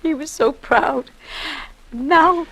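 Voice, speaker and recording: An actress playing a prim, sanctimonious elderly wife in an old-time radio drama, speaking in a formal, solemn, pious way. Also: female voice, high voice, drawn-out words, a bit bright, full character voice, low-quality recording. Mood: mournful, prim. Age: older.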